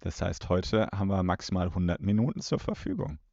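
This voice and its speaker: A man's voice: sits low, expressive, a little fast, gravelly, a little mellow, no room sound. A man in his thirties co-hosting a podcast, talking and reading aloud in a home setting. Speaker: podcast host. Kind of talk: podcast talk, partly reading a plot blurb. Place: room in a family home. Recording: dull home recording.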